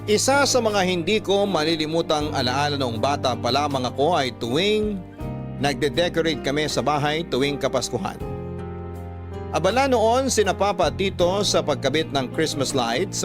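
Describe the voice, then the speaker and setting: A male actor plays a wistful storyteller looking back on family life in a Filipino radio drama. His voice sits a little high for a man and has a Filipino accent. Music plays clearly behind the narration. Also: close to an everyday voice. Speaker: storyteller reading a letter to a radio drama host. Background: music under the voice.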